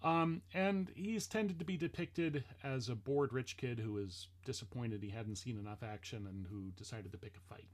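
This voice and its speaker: Conversational man, halting and reflective. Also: mid-range voice. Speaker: speaker introducing an ancestor's speech. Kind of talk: casual explanatory talk to listeners.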